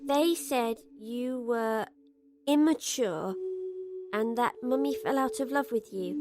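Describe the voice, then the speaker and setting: A woman playing an innocent, earnest little girl in an audio drama, speaking in simple, hesitant childlike phrases. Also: high-pitched.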